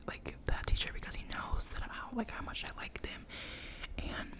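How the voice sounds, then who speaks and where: A young woman whispering a rambling personal story, full of "like" and self-corrections, in the style of an ASMR storytime. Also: moderate pace. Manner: soft whisper throughout. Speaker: vlogger.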